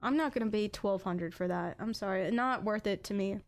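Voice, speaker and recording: A young adult woman with an accent, chatting casually as a game streamer and bursting into excited exclamations. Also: mid-range voice, fairly quick. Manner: chatty, bursts into excited "ooh"s over items. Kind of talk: casual live-stream chat.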